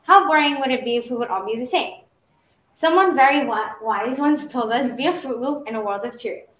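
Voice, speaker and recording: A woman's voice: fairly high pitch, fairly quick, fairly bright tone. A girl student reciting a prepared answer in a classroom. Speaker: student guest. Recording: echoey, dull classroom sound.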